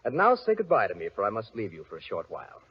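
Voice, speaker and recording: An actor playing a menacing, mysterious fairy-tale husband and castle lord, in a theatrical, commanding old-radio style. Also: male voice, lowish voice, wildly swooping pitch, fast, slightly rough, exaggerated voice. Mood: menacing warning. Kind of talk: 1940s radio acting. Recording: dull lo-fi old radio recording.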